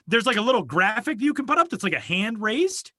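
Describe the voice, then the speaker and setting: A man's voice: high voice, very animated, fast, sharp tone, dry recording. A man co-hosting a podcast, chatting casually and joking about himself, recorded in a small soundproofed closet studio. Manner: rambling, self-deprecating, joking. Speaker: podcast co-host. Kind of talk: casual chat. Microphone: home studio mic over video call.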